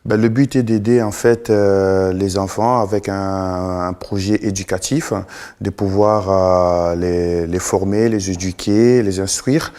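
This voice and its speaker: A middle-aged man from Congo, a guest in a TV studio, answering slowly with many fillers and restarts. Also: low-pitched, fairly even intonation, darkish tone. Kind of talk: live TV interview.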